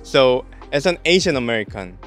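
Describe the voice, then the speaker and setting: A man's voice: mid-range voice, big pitch swings, a little fast, a bit bright, soft background music. A young man hosting a street interview outdoors, asking simple, friendly questions. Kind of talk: vlog intro and interview questions. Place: outdoors in a park. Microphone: handheld mic.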